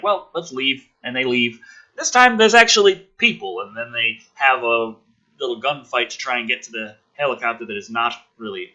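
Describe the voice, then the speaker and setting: A man's voice: medium pitch, pushed and bright, lo-fi sound. A man hosting a channel, giving a sarcastic, theatrical script reading with exasperated, foul-mouthed commentary. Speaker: host of a gaming and film channel.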